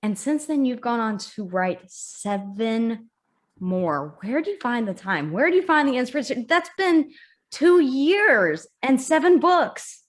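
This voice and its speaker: A woman's voice: a little high, big pitch swings, measured pace. A woman hosting an author interview, upbeat and stumbling over repeated words. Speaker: interview host.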